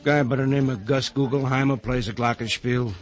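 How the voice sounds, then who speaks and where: A man's voice: mid-range voice, fairly even intonation, brisk, natural voice. Man acting tough wisecracking waterfront gangster. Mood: hard-boiled. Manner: hard-boiled, slangy, dismissive. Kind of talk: old-time radio comedy acting.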